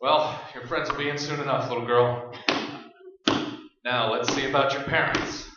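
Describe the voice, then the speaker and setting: An actor playing a desperate, hard-pressed man holding out in a staged horror radio play, with forceful, agitated delivery. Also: mid-range voice, fairly even intonation, moderate pace, natural voice. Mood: weary, grim. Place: stage performance in a hall. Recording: echoey hall from far off, muffled, hissy.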